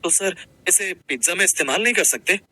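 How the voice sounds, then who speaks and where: A man playing a simple, humble working husband, gentle, earnest and a little hesitant, in a Hindi moral-story cartoon. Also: high voice, animated, fairly quick, dry recording, exaggerated voice. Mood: respectful, curious.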